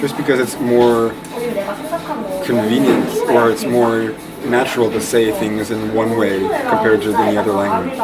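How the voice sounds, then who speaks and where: A man's voice: medium pitch, normal speed. Chatty young man from Eastern Canada. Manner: relaxed, rambling, lots of "like". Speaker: interview guest. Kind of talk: casual conversational interview. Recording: loud crowd noise behind.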